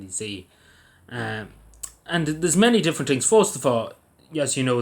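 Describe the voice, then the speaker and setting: An Irish man giving his opinions in a casual vlog, rambling with frequent restarts and fillers. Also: mid-range voice. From Ireland. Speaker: vlogger. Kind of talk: opinion commentary to camera.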